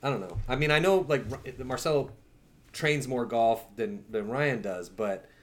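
A man's voice: mid-range voice, normal speed. A man talking casually as a guest on a talk show, slangy and emphatic. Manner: slangy, emphatic, mild swearing. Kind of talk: casual chat.

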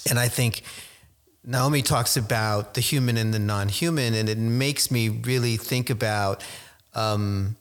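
A man speaking as a guest on an academic panel, thoughtful and measured. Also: mid-range voice, fairly even intonation. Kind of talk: panel discussion.

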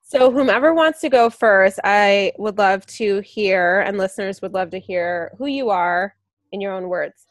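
A woman hosting a podcast, talking in a measured, reflective way. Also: medium pitch, dry recording. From United States. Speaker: podcast host. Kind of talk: casual podcast chat.

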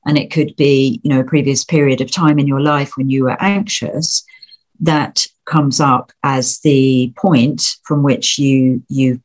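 A woman with a slightly husky voice, talking things through in a reflective, meandering way. She leans on "you know" as she works her way to each point. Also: rumbling low, steady tone, unhurried. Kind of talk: casual conversation.

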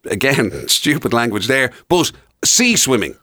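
A man's voice: medium pitch, big pitch swings, measured pace, pushed and bright, no room sound. An Irish man co-hosting a show in casual bantering chat, with dry, self-mocking humour. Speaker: radio and podcast co-host.

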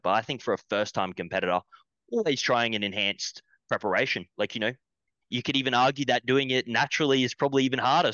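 A man on a podcast speaking in a measured, hesitant way with fillers. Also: mid-range voice, sharp tone, clean tone, no room sound, dull audio. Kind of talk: casual podcast chat.

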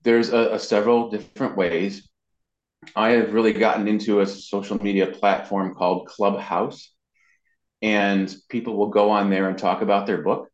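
A man's voice: fairly low pitch, flat delivery, moderate pace. A man speaking conversationally as an interview guest, explaining social media marketing practice. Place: indoors. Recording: muffled, band-limited, roomy echo.

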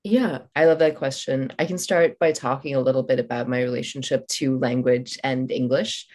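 A woman with a very low-pitched voice whose first language is Malayalam. She talks in a reflective, searching way, pausing and restarting often. She speaks as an author guest on a podcast interview. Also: steady tone, a little mellow. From India, raised in Oman. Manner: thoughtful, many fillers and restarts.

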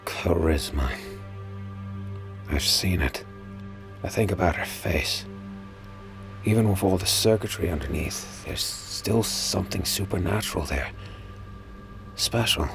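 An actor playing a weary, uneasy space pirate with a brooding manner, as in a first-person audio drama. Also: male voice, deep voice, unhurried, creaky, long pauses. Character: weary, uneasy male space pirate narrating his ordeal. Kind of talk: audio drama acting and narration. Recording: music behind the voice.

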